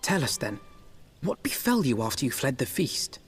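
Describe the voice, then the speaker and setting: A man's voice: mid-range voice, sing-song, normal speed, breathy edge, faint music behind. An actor playing an earnest, courteous young nobleman in a fantasy video game, with formal, grave phrasing. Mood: gentle concern.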